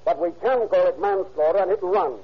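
An actor playing a police lieutenant pressing a suspect with firm questions, in 1930s radio drama style. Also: male voice, high voice, brisk, slightly rough. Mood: stern. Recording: dull lo-fi 1930s radio recording.

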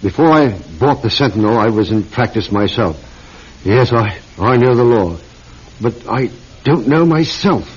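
A bewildered aristocratic British publisher in classic radio drama. His voice is husky and refined, halting as he gropes through his sentences. The old recording sounds dull and muffled. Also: medium pitch, animated. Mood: troubled. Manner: faltering, hesitant, refined.

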